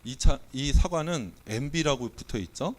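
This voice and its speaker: A Korean pastor delivers a sermon in a church with his voice weakened by a cold. He speaks at an ordinary pitch and keeps things playful, throwing quiz questions to the congregation and calling out their bids. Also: animated, normal speed, darkish tone. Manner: playful, quizzing and auctioning with the congregation. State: has a cold, voice not coming out well. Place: church sanctuary.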